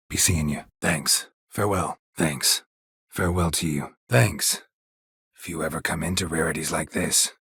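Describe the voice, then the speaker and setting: An American man voicing a stoic, world-weary, middle-aged monster hunter in a video game, with a gravelly, husky voice and a deadpan, clipped delivery. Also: extremely low pitch, no room sound, studio quality, exaggerated voice. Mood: brighter, engaged. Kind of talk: video game character dialogue lines.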